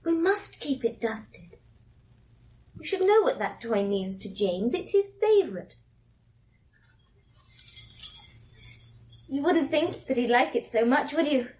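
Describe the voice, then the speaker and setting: An actress playing a fragile, wistful young wife in a radio drama, speaking in a hushed, slow, dreamy and insistent way with a breathy voice. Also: female voice, high-pitched, sing-song, exaggerated voice, poor audio. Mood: defensive, wistful. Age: young adult. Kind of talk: old radio drama acting.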